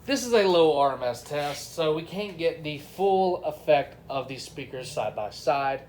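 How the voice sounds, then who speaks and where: A man's voice: on the high side, expressive, unhurried, darkish tone, slight background noise. A man giving a casual, unscripted product review, talking informally to his viewers. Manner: informal, addresses viewers as y'all and guys.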